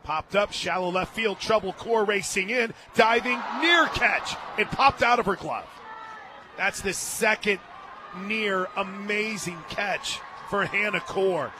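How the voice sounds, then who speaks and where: An American man doing live radio play-by-play of softball, conversational and clipped, lifting into emphatic calls. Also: high-pitched, slow, noisy background. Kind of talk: live softball radio commentary with casual banter. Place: stadium radio booth.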